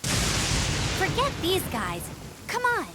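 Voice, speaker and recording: A woman's voice: very high voice, wildly swooping pitch, extremely slow, very noisy, heavily acted. A woman voicing a spirited, confident young female knight in an anime-style video game, perky and bold. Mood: focused.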